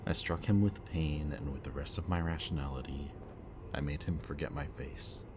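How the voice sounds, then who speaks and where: A man acting a brooding villain kidnapper in an audio roleplay, mockingly polite and weary, speaking in a deliberate, theatrical way. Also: very deep voice, steady tone, background noise. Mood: grim, haunted.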